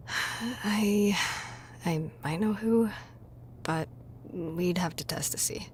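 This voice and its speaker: A man voicing a weary, downcast young woman in a visual novel game, with a halting delivery. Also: high-pitched, slight background noise, pitched above the performer's own voice. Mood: despondent.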